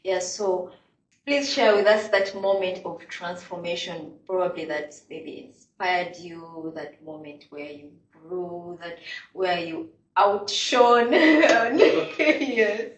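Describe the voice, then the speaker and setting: A woman's voice: fairly low pitch, takes it slowly. A woman hosting a casual interview, chatting and often filling with "like". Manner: chatty, leans on "like" fillers. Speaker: podcast host. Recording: echoey, distant mic.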